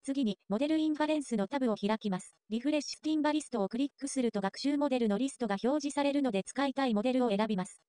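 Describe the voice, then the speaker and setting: A woman reading scripted narration for a software tutorial. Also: high-pitched, rapid-fire, hardly any pauses, no room sound, studio quality.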